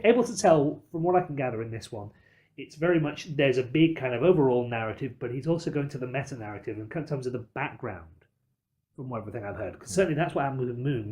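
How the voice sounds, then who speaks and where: A man's voice: medium pitch, darkish tone. A man hosting a podcast in a discursive, emphatic way, qualifying his points as he builds an argument about films. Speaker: podcast host. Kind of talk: film discussion podcast chat.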